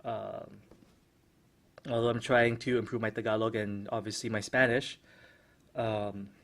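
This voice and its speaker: A man's voice: lowish voice, flat delivery, unhurried, gravelly. A man hosting a solo podcast, talking through his thoughts with lots of fillers and restarts. His first language is American English. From Philippines. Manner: hesitant, many restarts and fillers, reflective. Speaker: podcast host. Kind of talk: casual solo podcast commentary.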